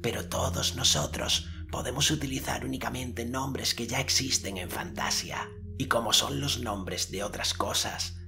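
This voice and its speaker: A man's voice: on the low side, creaky, pitched above the performer's own voice. A man voicing a dignified, solemn old male elder in a stately, declaiming manner for an audiobook. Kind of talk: audiobook character voice.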